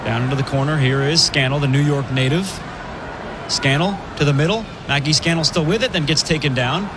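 A man calling live women's college hockey play-by-play, brisk and chatty, laughing easily between plays. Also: fairly high pitch, background noise. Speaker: play-by-play commentator.

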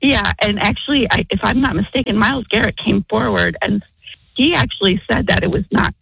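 A woman's voice: medium pitch, fairly quick, creaky, a bit bright, slightly rough, dry recording, poor audio. A woman on a radio show who talks in a hedging way, often qualifying what she says. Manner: hedging, lots of "from my understanding". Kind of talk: radio talk chat.

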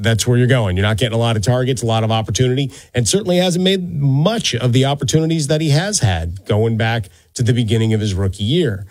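A man's voice: mid-range voice, no echo. A man hosting a sports talk radio show in a casual, playful, rambling monologue on a close studio microphone. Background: music.

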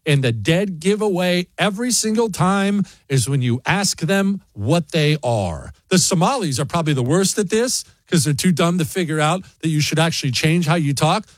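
A man's voice: high voice, dry recording, studio quality. A man hosting a talk show, delivering blunt, mocking opinion segments and answering listener emails.

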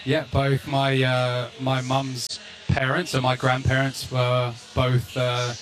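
A man's voice: mid-range voice, completely flat delivery, takes it slowly, faint music behind, poor audio. A man with an English accent, a former pro soccer player, chatting loosely as a radio guest with many restarts. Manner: rambling, many restarts and fillers. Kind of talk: casual radio chat.

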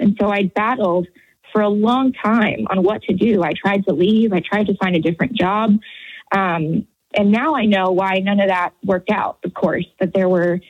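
A woman in her thirties with an American accent, giving an earnest, halting personal account as a radio interview guest. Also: mid-range voice, breathy edge. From Houston area, Texas, United States. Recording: narrow phone-like line, roomy echo.

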